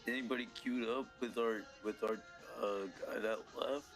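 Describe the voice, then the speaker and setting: A man in game voice chat, asking short questions in a quiet, low-key way. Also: fairly even intonation, normal speed, faint music behind.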